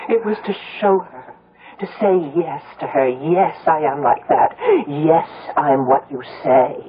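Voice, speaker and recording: A woman's voice: low voice, lively intonation, unhurried. An actress playing an anguished young woman haunted by her mother in a radio drama. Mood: bitter confession. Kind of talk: 1970s radio drama acting. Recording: muffled, tinny old radio broadcast.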